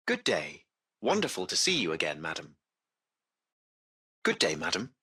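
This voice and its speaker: Crisp-toned, courteous AI taxi butler. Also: male voice, low-pitched, wildly swooping pitch, normal speed, sharp tone, heavily acted. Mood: polite, welcoming. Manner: formal, polite, precise phrasing. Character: courteous AI taxi-service machine, formal and butler-like. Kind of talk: scripted video game voice acting.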